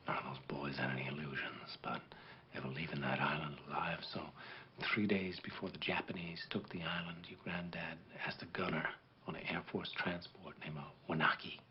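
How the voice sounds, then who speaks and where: A man playing a grave, middle-aged war veteran officer who speaks in a measured, solemn way, as if telling a story to a child. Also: low-pitched, steady tone, muffled recording, a little hiss or hum, close to an everyday voice. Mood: hushed. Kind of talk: dramatic monologue performance.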